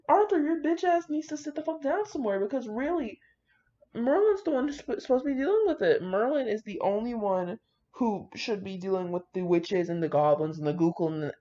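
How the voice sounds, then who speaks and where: A woman's voice: medium pitch, a little mellow. A woman reading and reacting to fan fiction in a casual, brash, slangy and dramatic way. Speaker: video creator reading fan fiction aloud with commentary. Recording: dull lo-fi audio.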